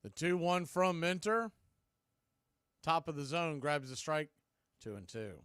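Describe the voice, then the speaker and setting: A man's voice: a little high, lively intonation, measured pace, a bit bright, clean tone, no echo, clean studio sound. A man calling baseball play-by-play live on a stream, in clipped phrases that lift on the action.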